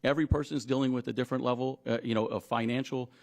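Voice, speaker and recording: A man speaking as a union leader at a press conference, emphatic and deliberate, repeating phrases for stress. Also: mid-range voice, fairly even intonation, vocal fry, a little mellow. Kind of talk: press conference statements and answers.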